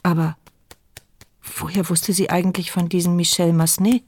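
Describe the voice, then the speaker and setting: A wry, bitter inner narrator. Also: female voice, on the low side, flat delivery, moderate pace, a little mellow. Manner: wry, sardonic. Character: blind mute woman, bitter inner narrator. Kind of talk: radio play inner monologue.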